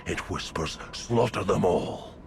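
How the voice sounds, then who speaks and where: An actor playing an old, weary, grim werewolf in a video game, with a gravelly breathy voice and slow, ominous, archaic phrasing. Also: male voice, sits low, lively intonation, soft background music. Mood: anguished, fierce. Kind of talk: video game dramatic monologue.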